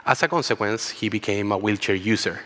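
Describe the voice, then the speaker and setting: A man from Chile talks with a Chilean Spanish accent and a bright, forceful tone. He tells a personal story in an earnest way and often repeats words. His voice carries a long reverb from the large conference hall. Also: on the low side, fairly quick. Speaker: keynote speaker.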